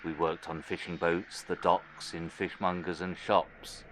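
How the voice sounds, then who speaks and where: An actor playing an immortal former sea captain turned cold cultist in a horror audio drama, speaking in a brooding, deliberate monologue. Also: male voice, sits low, level tone, noisy background. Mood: proud, devout. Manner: brooding, deliberate, trailing into quiet phrases.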